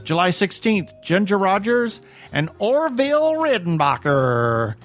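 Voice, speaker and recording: A man's voice: high voice, very animated, measured pace, a bit bright, faint music behind. A man co-hosting a podcast, making announcements in a casual, chatty style. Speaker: podcast co-host.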